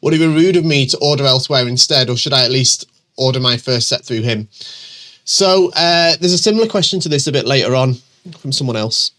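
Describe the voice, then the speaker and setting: Middle-aged male host with a bunged-up, stuffy voice from a cold; bright, forward tone, casual and rambling. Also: medium pitch, a little fast. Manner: chatty, many ums and restarts, reads questions aloud. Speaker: host answering viewer questions. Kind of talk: casual chat.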